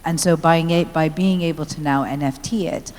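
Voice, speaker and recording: A woman's voice: sits low, steady tone, moderate pace, darkish tone. A woman artist introducing herself conversationally as a panelist at a conference. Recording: echoey hall, faint ambient noise.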